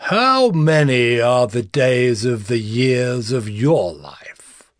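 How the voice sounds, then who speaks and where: Stately pharaoh, unhurried with wide-swinging pitch, dry sound, biblical audio drama. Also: male voice, sing-song, dry recording. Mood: regal, curious. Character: regal Pharaoh, male ruler of Egypt. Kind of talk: audio drama Bible acting.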